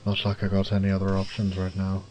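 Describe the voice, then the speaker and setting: A man playing a wry, resourceful thief in an audio drama, muttering gruffly and cursing to himself. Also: low voice, monotone, quick pace, darkish tone, slight background noise, close to an everyday voice. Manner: gruff, sardonic, swearing under his breath. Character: wry, foul-mouthed adult male thief, resourceful under pressure.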